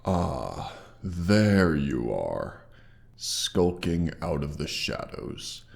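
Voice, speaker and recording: Very deep, slow, haughty dragon. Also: male voice, very animated, creaky, full character voice. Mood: condescending, curious. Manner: slow, menacing, condescending, theatrical pauses. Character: injured, haughty male dragon guarding his treasure hoard. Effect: monster voice processing. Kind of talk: scripted roleplay audio drama.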